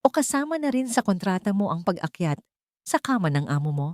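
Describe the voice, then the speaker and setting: A woman's voice: medium pitch, very animated, fairly quick, a little mellow, no echo, clean studio sound, lightly acted. A woman voicing a cold, commanding, jealous rich fiancée in an audiobook romance drama, firm and condescending. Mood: scornful.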